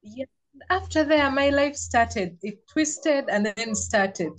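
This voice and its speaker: A woman's voice: sits high, lively intonation, slow pace. A young woman with a Ugandan English accent, a podcast guest who talks about her life plainly and laughs easily. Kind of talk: casual interview chat.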